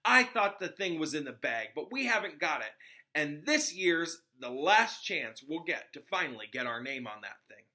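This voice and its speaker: A man's voice: a little high, big pitch swings, normal speed, sharp tone, full character voice. A man voicing an intense, driven teenage boy who captains a sports team in a storybook, speaking emphatically. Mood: driven, dejected.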